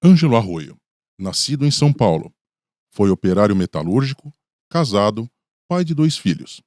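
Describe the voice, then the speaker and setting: A man's voice: lowish voice, sing-song, normal speed, a little mellow, no room sound, clean studio sound. A man with a Brazilian accent giving scripted narration for a radio documentary.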